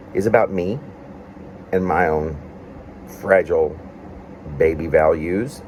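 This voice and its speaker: Very deep-voiced man reading reflectively, faint hum. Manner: meandering, halting phrasing with pauses. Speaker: poet reading own work. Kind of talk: reflective reading of a personal essay-poem.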